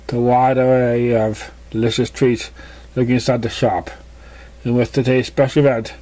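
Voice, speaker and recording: A man reading scripted story narration, somewhat haltingly, stumbling over words now and then. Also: mid-range voice, warm tone. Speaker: story reader.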